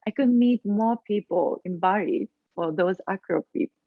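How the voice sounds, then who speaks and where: A woman with a Japanese accent, a guest in a casual interview, speaking haltingly and searching for her English words. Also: mid-range voice, dry recording. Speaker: guest, acro yogi. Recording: tinny phone-like line.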